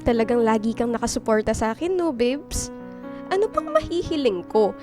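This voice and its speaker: A woman's voice: a little high, sing-song, moderate pace. A woman playing a sweet, giggly, affectionate young girlfriend in a Filipino radio drama. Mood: giddy, loving. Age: young adult. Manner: cutesy, giggly. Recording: radio drama with music behind.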